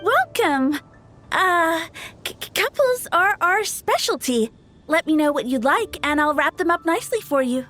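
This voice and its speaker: A woman's voice: extremely high pitch, big pitch swings, a little slow, fairly bright tone, faint music behind, exaggerated voice. A woman voicing a timid young flower shop girl in a video game, sweet, eager to please and easily flustered. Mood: eager, nervous. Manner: nervous, sweet, stammering when flustered.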